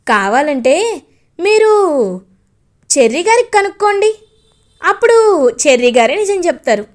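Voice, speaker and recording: A woman voicing an earnest young woman, reassuring and a little pleading. The voice is high and light. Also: sing-song, normal speed.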